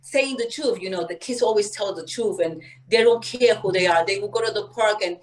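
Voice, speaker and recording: A woman from Peru speaks as an interview guest at a middle pitch. She talks earnestly and warmly about spiritual growth, with animated restarts and a conversational flow. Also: a little fast, low-quality recording. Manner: earnest, spiritual, animated, acts out quoted voices. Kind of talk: candid conversational storytelling.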